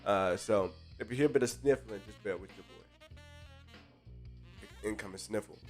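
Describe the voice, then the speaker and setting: A man's voice: fairly low pitch, normal speed, darkish tone. Stuffy-nosed man hosting a hype stream. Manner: hyped, slangy, greets chatters by name. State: stuffy nose, under the weather. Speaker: host of a live stream. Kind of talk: casual live-stream chat. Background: faint live jazz music.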